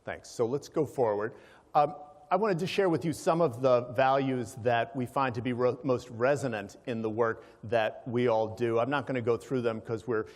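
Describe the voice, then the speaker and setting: Male conference speaker, mid-pitched, explanatory and hesitant in places, addressing a live audience in a reverberant hall. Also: normal speed, darkish tone. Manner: explanatory, checks in with listeners. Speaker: conference speaker, organization president. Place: large hall, live event.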